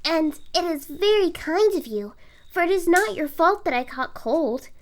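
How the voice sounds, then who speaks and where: A performer playing a gentle, sickly young boy in an audio drama, speaking in an earnest, wistful way. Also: very high voice, expressive, unhurried, full character voice. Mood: delighted, grateful.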